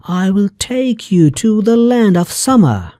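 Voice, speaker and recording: A narrator playing a gentle little male bird from a storybook. He has a high voice and speaks very slowly and earnestly. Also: big pitch swings, no room sound, studio quality. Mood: encouraging. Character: lonely, gentle male swallow, a fairy tale bird.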